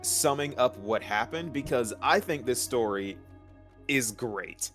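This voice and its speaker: A man with a medium-pitched voice and very lively intonation chats as a guest commentator. He gives casual opinions emphatically, restating phrases for stress. Light music sits behind him. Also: measured pace. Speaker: guest co-commentator on game stories.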